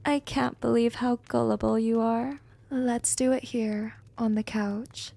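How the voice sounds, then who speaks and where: Casting: actress as gentle, teasing female vampire for ASMR roleplay; soothing, breathy, slightly high voice with some creak. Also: level tone. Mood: amused, teasing. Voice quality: soft, breathy, often whispering. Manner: slow, soothing, coaxing.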